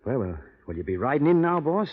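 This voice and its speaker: A man's voice: medium pitch, sing-song, quick pace, husky. A man playing a loyal, plain-spoken cowhand with folksy, hesitant talk in a radio western. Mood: awkward sympathy. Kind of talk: 1940s radio western acting. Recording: muffled vintage radio broadcast, reverberant hall.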